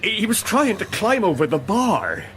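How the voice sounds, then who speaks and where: Anxious male steward, radio drama: protesting, stammering, rather high voice, clear noise behind. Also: huge pitch swings, moderate pace, a bit bright, full character voice. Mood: defensive. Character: nervous, defensive male train steward. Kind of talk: naturalistic radio drama acting.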